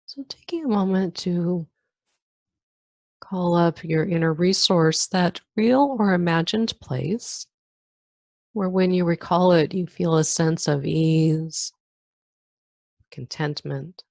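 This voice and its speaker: Female meditation teacher, deep register, calm and slow with long pauses, dry sound. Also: dry recording. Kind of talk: guided meditation.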